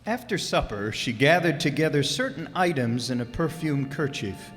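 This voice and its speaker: A man's voice: mid-range voice, animated, normal speed, a bit bright, close to an everyday voice. A man narrating a scripted story as part of a radio drama. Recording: echoey hall, live stage recording. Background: music.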